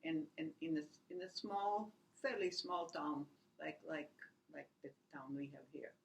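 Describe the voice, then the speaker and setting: Brief: woman, 80s, gravelly fry, halting reminiscence. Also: sits low, slight background noise, rough recording. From Latvia. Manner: halting, many restarts and "you know" fillers. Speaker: oral history interviewee. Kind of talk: rambling personal recollection.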